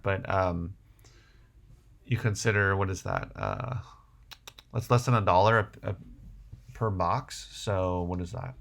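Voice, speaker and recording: A low, gravelly male voice with plenty of vocal fry. He talks casually as a livestream host, rambling a little and thinking out loud while he answers questions.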